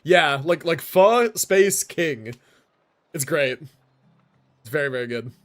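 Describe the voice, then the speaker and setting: A man's voice: a little high, animated, slow pace, fairly bright tone, faint music behind. A young man streaming games, chatting casually with slangy, profane phrasing. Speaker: game streamer. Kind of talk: casual livestream chat.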